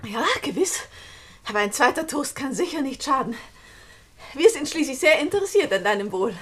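An actress playing a put-upon, resentful niece in a radio play, speaking with a curt, dryly pointed manner. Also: female voice, sits high, expressive, normal speed, fairly bright tone, breathy edge, heavily acted. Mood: pointedly sarcastic. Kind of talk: German radio play acting.

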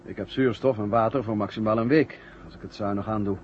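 Guy acting stranded astronaut, anxious n confused, halting talk, old 70s radio play, dull sound. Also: fairly low pitch, fairly even intonation, lightly acted. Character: Bill, stranded astronaut, anxious and bewildered survivor. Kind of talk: 1970 Dutch radio drama acting. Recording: dull, noisy 1970 radio drama recording.

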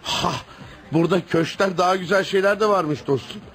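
An actor playing a jovial, admiring newspaper colleague and old friend in a radio play, with a chummy manner. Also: male voice, high voice, normal speed, a bit bright, a little hiss or hum. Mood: friendly, impressed. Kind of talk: radio theatre acting.

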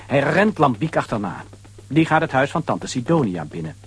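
A man's voice: mid-range voice, very animated, normal speed. A man narrating a children's audio play as a conversational, dramatic storyteller.

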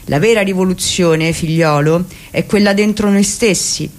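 A woman's voice: fairly low pitch, moderate pace. A woman giving an impassioned, declamatory reading of a book for the radio. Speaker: reader. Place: radio studio.

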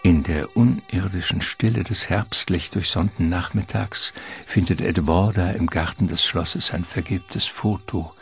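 A man narrating a literary radio drama in a measured, ornate storytelling manner. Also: sits low, vocal fry. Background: music.

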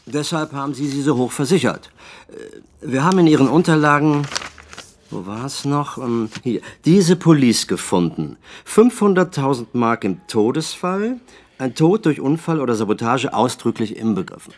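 An actor as a probing detective, lively intonation. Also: male voice, mid-range voice, moderate pace, close to an everyday voice. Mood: pointed, probing. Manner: pointed, controlled, sardonic. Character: probing, dryly insistent male police detective interrogating suspects. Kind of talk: naturalistic radio drama acting.

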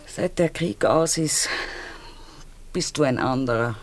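A woman's voice: a bass voice, expressive, takes it slowly, exaggerated voice. An actress playing a troubled, melancholy woman who reproaches her lover in a radio play, with an Austrian accent. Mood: disillusioned, dry.

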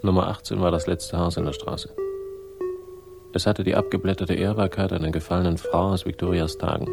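An actor playing a dry, laconic hard-boiled investigator in a 1960s German radio crime drama, deadpan and sardonic. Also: male voice, low voice, creaky. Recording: 1960s studio radio play with music.

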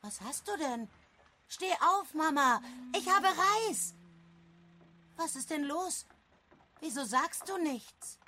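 High-voiced young Japanese boy. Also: expressive, slow pace, long pauses, pushed and bright, a little hiss or hum, full character voice. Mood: anxious, pleading. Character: young Japanese boy, devoted, desperate, grieving brother. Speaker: voice actress in a radio drama. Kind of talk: emotional radio drama acting.

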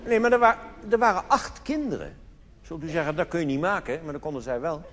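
A man's voice: on the high side, expressive, quick pace, crowd noise. A live recording from a big echoing hall with some noise in it. A male cabaret comedian delivers a monologue in a mock-solemn, theatrical manner, his intonation swinging widely as he poses rhetorical questions and tells anecdotes. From Netherlands. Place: theatre stage in a large hall.

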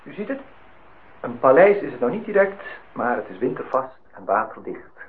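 An actor playing a polite, lonely host in a radio play, formal and slightly insistent. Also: male voice, mid-range voice, very animated, moderate pace, slightly rough. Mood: polite, probing. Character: polite, lonely man hosting a young woman at his lakeside house. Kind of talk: Dutch radio play acting. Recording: noisy, tinny old radio recording.

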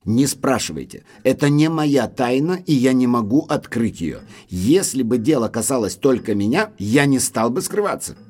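An actor playing an anxious, evasive butler, deferential and faltering, in an audio drama. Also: male voice, mid-range voice, big pitch swings, moderate pace, few pauses, darkish tone, husky. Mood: defensive. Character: nervous, evasive, deferential butler guarding a secret.

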